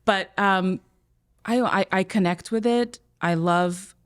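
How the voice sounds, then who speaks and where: A woman's voice: medium pitch, takes it slowly, smooth. A middle-aged woman from the former Soviet Union, hosting a podcast in casual, hesitant conversation. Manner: hesitant, many fillers and restarts. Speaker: podcast host and teacher.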